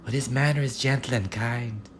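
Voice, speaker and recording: A man voicing a kind young woman, a devoted daughter in a fairy tale, soft and earnest. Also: medium pitch, fairly even intonation, moderate pace, a little hiss or hum, pitched above the performer's own voice, natural voice. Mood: gentle, earnest.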